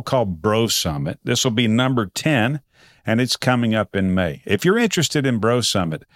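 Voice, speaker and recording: An American man from Texas hosts a podcast. His voice sits at a medium pitch and he talks conversationally in short, deliberate phrases. The sound is dry, with very little room in it. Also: no echo. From Texas, United States. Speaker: podcast host. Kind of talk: podcast introduction and casual interview.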